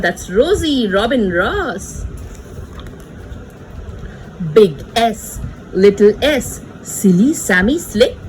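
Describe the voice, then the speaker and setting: Very high, animated woman reading to children. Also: a little hiss or hum, low-quality recording. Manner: sing-song, playful, teacherly, repeats words and points things out. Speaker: children's read-aloud presenter. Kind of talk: animated picture-book reading for small children.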